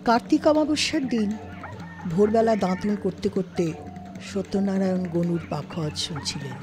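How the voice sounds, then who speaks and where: A woman's voice: mid-range voice, moderate pace, music in the background. A woman narrating a scripted literary story as an audiobook-style narrator. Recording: studio, faint background noise.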